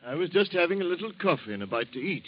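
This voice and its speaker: An actor playing a polite, deferential butler in a 1940s radio drama, speaking with hesitant courtesy. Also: male voice, on the high side, big pitch swings, rapid-fire. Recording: dull old radio recording.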